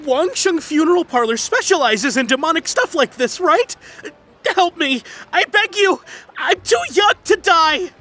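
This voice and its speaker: A woman's voice: extremely high pitch, animated, measured pace, sharp tone, soft background music, heavily acted. A woman voicing a panicky young man convinced he has been cursed, stammering and dramatic. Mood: pleading. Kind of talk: video game voice acting.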